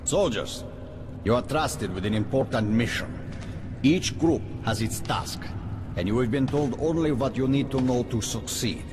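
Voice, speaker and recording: A man's voice: medium pitch, lively intonation, unhurried, background noise, close to an everyday voice. An actor playing a stern general briefing his soldiers in a commanding tone. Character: stern male army general giving orders. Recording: game cutscene with loud music.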